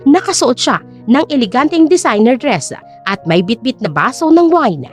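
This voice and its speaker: High-voiced woman narrating a drama. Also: normal speed, soft background music. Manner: steady, storytelling delivery. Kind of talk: scripted audio drama narration.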